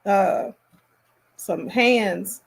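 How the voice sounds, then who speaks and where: Slow-talking woman, casual commentary. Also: medium pitch, gravelly, breathy edge. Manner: appalled, emphatic, halting delivery. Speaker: reaction commentator hosting.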